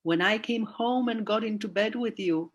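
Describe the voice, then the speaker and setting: A woman acting as a proud widowed mother in a radio play, with a measured, deliberate delivery. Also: medium pitch, dull audio, natural voice. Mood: tender, wistful.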